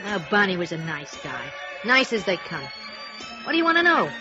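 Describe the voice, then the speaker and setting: A woman's voice: mid-range voice, big pitch swings, normal speed, natural voice. Woman playing tired streetwise female cashier, wry and guarded, radio noir, muffled old recording, music behind. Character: tired, faded, wisecracking blonde arcade cashier. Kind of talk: 1950s radio noir acting.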